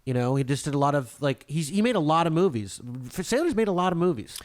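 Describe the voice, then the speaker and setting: A man's voice: fairly high pitch, very animated, fast talker, a little mellow. A man co-hosting a podcast, chatting casually about films in an opinionated, sweary way. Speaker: podcast co-host. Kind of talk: casual movie chat.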